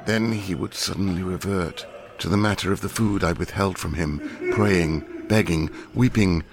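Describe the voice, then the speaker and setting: A man with a very deep, low voice reads a classic novel aloud. His delivery is measured and deliberate, with a grave, serious tone. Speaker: audiobook reader. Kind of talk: scripted narration of a classic novel.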